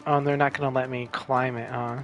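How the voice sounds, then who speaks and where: A man's voice: medium pitch, little pitch movement, brisk, fairly bright tone. A man giving casual gameplay commentary, muttering to himself in a laid-back way. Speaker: gamer playing and commenting. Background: game music.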